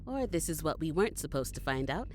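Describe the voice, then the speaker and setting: An actress plays a sceptical, foul-mouthed female companion in a fantasy audio drama. Her voice is low and moves widely in pitch. She is blunt and sardonic, over faint background noise. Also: sing-song, quick pace. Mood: suspicious.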